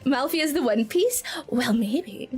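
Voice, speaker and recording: A very high, lively Scottish woman's voice, streaming from a home setup with faint music playing behind her. She talks in a bubbly, giggly way, swooping up and down as she thanks each viewer. The pitch sits far above the usual female range. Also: a little slow. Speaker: VTuber streamer. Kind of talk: live-stream chat with viewers.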